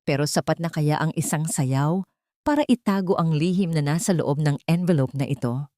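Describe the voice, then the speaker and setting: A menacing young woman played by a female narrator taunts her target with quiet mockery. Her voice sits on the low side and is recorded close and dry, as in an audio drama. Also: animated, normal speed, dry recording. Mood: mocking, threatening. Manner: mocking, whispering threats.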